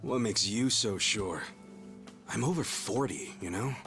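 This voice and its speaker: A man's voice: lowish voice, unhurried. An actor playing an earnest man in his forties who dreams of being a hero, in a game cutscene with music behind. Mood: downcast. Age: 40s. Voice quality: earnest, wistful and easily fired up. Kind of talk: video game cutscene dialogue.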